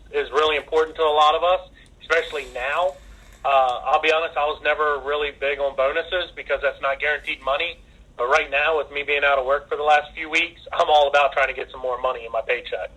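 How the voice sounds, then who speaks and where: Bright, raspy man on a conference call. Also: a little high. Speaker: retail manager leading a staff conference call. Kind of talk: informal work briefing. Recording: tinny, radio-like conference phone line.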